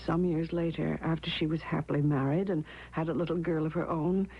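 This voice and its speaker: An actress playing an anxious, devoted young wife in an old-time radio drama, with earnest, dramatic delivery. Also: female voice, sits low, steady tone, a little fast, breathy edge. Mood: frightened, haunted. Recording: dull vintage radio recording.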